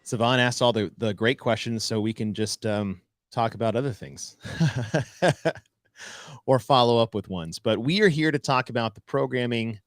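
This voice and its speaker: A man's voice: medium pitch, unhurried, dry recording, clean studio sound. A man co-hosting a casual podcast, teasing his partner and laughing often as he talks. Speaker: podcast co-host.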